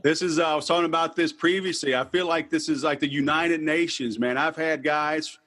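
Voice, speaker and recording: A man's voice: a little high, fairly even intonation, fairly quick, fairly bright tone. A man with a Texas accent hosting a sales podcast, talking in a casual, salesy, direct way. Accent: Texan. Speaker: podcast host. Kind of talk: podcast interview and self-promotion.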